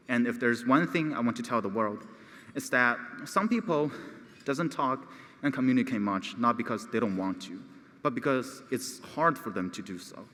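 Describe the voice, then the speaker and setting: A man's voice: mid-range voice, a bit bright. A teenage boy who stutters, giving an earnest prepared speech at a gala. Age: teens. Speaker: gala speaker. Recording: long hall reverb.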